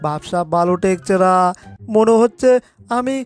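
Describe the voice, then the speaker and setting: A woman's voice: mid-range voice, lively intonation, moderate pace, darkish tone, faint music behind, natural voice. A woman voicing a panicky, put-upon male cat in a cartoon dub, exclaiming and fretting to itself. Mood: alarmed. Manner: exclaiming, fretful muttering to itself.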